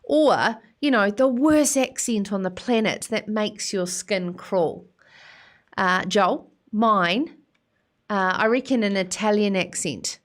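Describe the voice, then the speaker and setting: A woman's voice: medium pitch, slow pace. Bubbly New woman hosting a show. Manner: bubbly, teasing, frequent laughter. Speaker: host. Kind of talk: chatty live show hosting.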